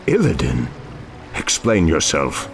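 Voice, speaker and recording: An actor playing a noble, commanding night elf archdruid in a fantasy game, speaking with solemn, measured authority. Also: male voice, very deep voice, huge pitch swings, gravelly, soft background music, heavily acted. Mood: stern. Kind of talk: fantasy game cutscene acting.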